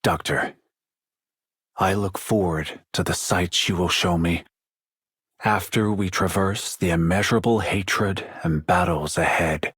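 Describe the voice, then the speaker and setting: A grave, weary fantasy game character. His voice is very deep and husky, and he speaks slowly with solemn, poetic weight. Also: a bit bright, no room sound, clean studio sound, full character voice. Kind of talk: scripted game voice acting.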